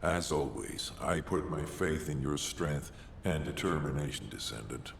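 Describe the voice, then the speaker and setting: An actor playing a solemn, wise male guide of warriors in a video game, speaking in a grave, measured, formal way. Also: very deep voice, gravelly, heavily acted. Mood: solemn encouragement. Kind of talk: scripted video game dialogue. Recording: roomy long reverb, faint noise.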